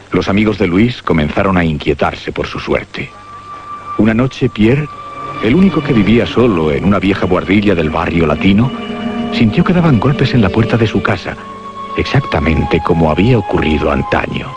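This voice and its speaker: A man's voice: deep voice, lively intonation, normal speed. A man narrating a scripted horror radio drama. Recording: dull lo-fi audio under music. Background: prominent music.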